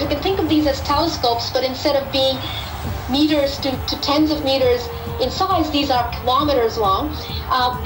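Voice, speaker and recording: A middle-aged woman from Pakistan, a physicist answering interview questions thoughtfully and pausing to repeat words as she forms her ideas. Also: high voice, echoey room, rough recording.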